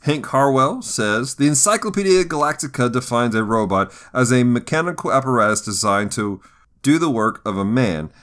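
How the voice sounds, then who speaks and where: A man's voice: medium pitch, lively intonation, moderate pace, a bit bright. A man hosting a podcast, chatting casually in a jokey, easygoing conversational style. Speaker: podcast host. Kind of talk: casual chat.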